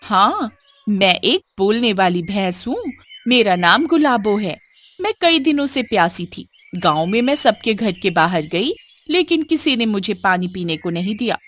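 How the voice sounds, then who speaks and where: Friendly talking buffalo for a storybook, played by a woman; earnest, generous, very animated delivery. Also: mid-range voice, unhurried. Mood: grateful, generous.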